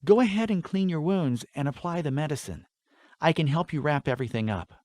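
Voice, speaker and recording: Man putting on a high, doting storybook mother. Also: animated, a little fast, a little mellow, dry recording, studio quality, natural voice. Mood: caring. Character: doting mother, warm, affectionate and fussing. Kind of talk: fantasy audiobook character dialogue.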